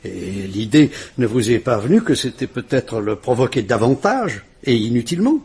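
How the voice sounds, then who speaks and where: A man's voice: medium pitch, big pitch swings, moderate pace, darkish tone, slightly rough, full character voice. An actor playing a police commissioner who questions a frightened woman in a French radio drama. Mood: questioning.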